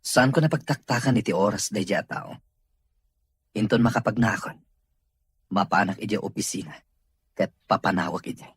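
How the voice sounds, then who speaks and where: A man playing a stern boss recovering from illness, with a rough husky voice, in an audio drama. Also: lowish voice, moderate pace, long pauses, dry recording. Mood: indignant. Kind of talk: Ilocano audio drama acting.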